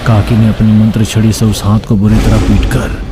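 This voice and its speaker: A man's voice: fairly low pitch, little pitch movement, moderate pace. A man narrating a scripted cartoon story. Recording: long hall reverb, background noise.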